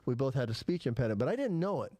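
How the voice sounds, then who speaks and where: A man's voice: medium pitch, big pitch swings, racing, dark tone, dry recording. A man who speaks with a lisp, hosting a casual podcast chat and rambling through stories with many restarts. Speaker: podcast host.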